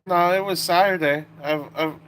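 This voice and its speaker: A man's voice: high-pitched, fairly even intonation, moderate pace, a bit bright, a little hiss or hum, low-quality recording. A man in his late twenties, chatting casually on a live stream in a rambling, joking way that often breaks off and restarts. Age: 20s. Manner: rambling, self-interrupting, joking.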